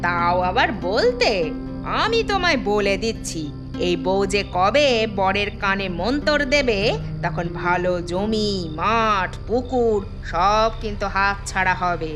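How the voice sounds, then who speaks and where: A woman voicing a cunning, bossy elder sister-in-law in a cartoon, sharp-tongued and scolding. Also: medium pitch, expressive, slow, over music. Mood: scheming.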